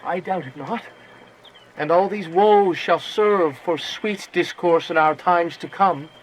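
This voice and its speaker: An actor playing an ardent, fatalistic young lover in a Shakespearean radio drama, with lingering, theatrical verse delivery. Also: male voice, high voice, slow, slight background noise, low-quality recording. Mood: sorrowful farewell.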